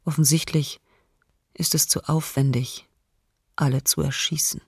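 Husky, slow, bitter diarist. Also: female voice, low voice, fairly even intonation, no room sound, studio quality, heavily acted. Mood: despairing. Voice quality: husky, breathy, creaky. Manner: slow, halting, sometimes near whisper. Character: bitter, frightened diarist in occupied Kyiv. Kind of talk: intimate radio docudrama monologue.

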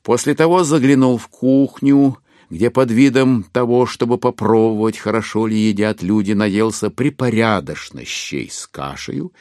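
An actor narrating a classic satirical novel in an audio play, as a literary storyteller. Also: male voice, fairly low pitch, normal speed, darkish tone, dry recording, studio quality, lightly acted. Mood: wry, descriptive.

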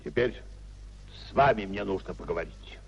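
A man's voice: fairly low pitch, moderate pace, vocal fry, husky. An actor in a 1950s Russian radio play as a proud, bitter older nobleman, ruined and raging at his wife in declamatory, indignant style. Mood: cold contempt. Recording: dull, muffled 1950s radio recording.

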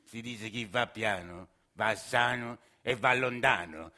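An actor playing a stern, weary schoolteacher in a radio play, speaking low and quiet with a deadpan delivery. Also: male voice, takes it slowly, exaggerated voice.

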